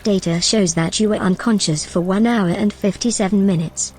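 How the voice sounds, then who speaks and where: A composed, helpful station AI woman, as an actress plays her, speaking in a middle-pitched, even and precise way. Soft music sits under the voice in this radio drama. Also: moderate pace, natural voice. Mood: calm. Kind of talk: audio drama acting.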